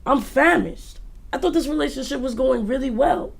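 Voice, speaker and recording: A woman performing a jilted dater in a confessional comic monologue, with halting, incredulous delivery. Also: sits high, vocal fry. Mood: exasperated, hurt. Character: jilted, exasperated woman recounting a disastrous date.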